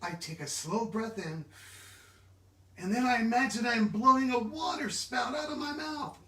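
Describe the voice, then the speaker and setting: Echoey, high-pitched man reading to kids. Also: moderate pace, a little hiss or hum. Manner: expressive, interactive, asks listeners questions. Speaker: children's book reader. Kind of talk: animated children's storybook read-aloud. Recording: echoey room, distant mic.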